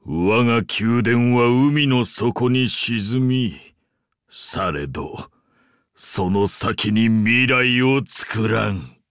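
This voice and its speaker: A man voicing an ancient, imperious sea-god father in a Japanese game, speaking in a pompous, archaic and deliberate way with grand indulgence. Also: mid-range voice, fairly bright tone, no echo, studio quality. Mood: solemn, wistful. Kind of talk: Japanese game character voice acting.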